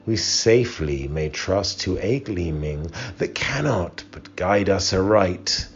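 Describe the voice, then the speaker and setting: A man's voice: deep voice, lively intonation, takes it slowly. A man playing a haunted, grieving male lover in a dramatic, impassioned poetry recitation. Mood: eager, hopeful. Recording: muffled, with background hiss.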